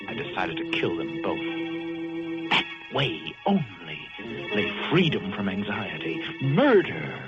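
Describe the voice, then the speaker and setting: Paranoid killer confessing, voice all over the place, old muffled radio drama with music. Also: male voice, lowish voice, big pitch swings, slow pace. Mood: paranoid, resolved. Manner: intense, melodramatic. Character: guilt-haunted, paranoid shipwreck survivor confessing to murder. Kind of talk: old-time radio suspense acting, first-person narration.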